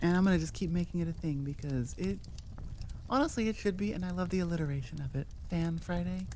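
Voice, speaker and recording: Woman, lowish pitch, casual chat to listeners, tangent-prone, keen delivery, faintly noisy recording. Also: fast talker, warm tone. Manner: chatty, tangential, enthusiastic. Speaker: audio creator and host.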